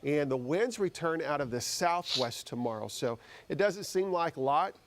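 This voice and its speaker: A man's voice: fairly high pitch, lively intonation, normal speed. An American man presenting a live TV weather forecast in a conversational, folksy way.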